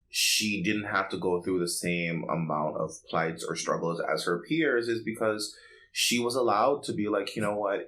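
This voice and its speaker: A man's voice: sits low, fairly bright tone, low-quality recording. A man co-hosting a casual pop culture podcast, chatty and opinionated, peppering his talk with "like". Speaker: podcast co-host.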